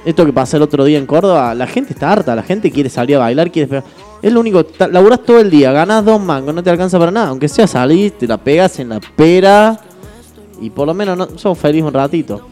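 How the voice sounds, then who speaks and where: A man in his twenties with an Argentine accent, hosting a casual podcast chat with quick jokes. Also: on the high side, soft background music. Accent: Argentine Spanish. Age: 20s. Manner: fast, joking, animated storytelling. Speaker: radio podcast host.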